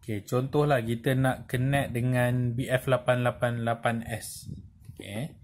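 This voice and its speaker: A man with a Malaysian accent giving an informal hands-on tutorial, explaining step by step in a halting way with frequent check-in tags. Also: mid-range voice, steady tone. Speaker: presenter of a tutorial. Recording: clearly noisy amateur recording with clicks.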